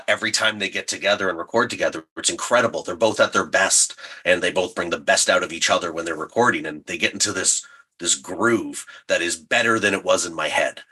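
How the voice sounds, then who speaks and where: Deep, husky, bright-toned man. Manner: effusive, enthusiastic. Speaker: audio drama writer and director, podcast guest. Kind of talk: casual interview chat.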